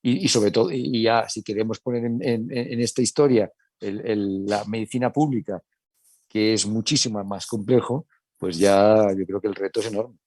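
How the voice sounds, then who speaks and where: Middle-aged Italian-accented man, panel speaker, mellow and dry sound, stop-start delivery. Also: on the low side, fairly even intonation, no room sound. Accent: Italian, with a Spanish Castilian touch. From Italy. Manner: halting, many restarts, conversational. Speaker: hospital medical director, panelist. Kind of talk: panel discussion.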